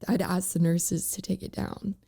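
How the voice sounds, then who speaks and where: Guest, young woman, raspy with voice cracks, lowish pitch, mellow tone, halting delivery. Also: level tone. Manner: halting, many fillers and "like"s. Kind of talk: candid personal interview.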